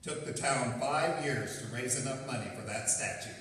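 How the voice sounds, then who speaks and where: A man's voice: mid-range voice, moderate pace, lightly acted. A man acting a blustery, folksy small-town council candidate in a stage radio play. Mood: chatty. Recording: echoey hall, distant mic, faint noise.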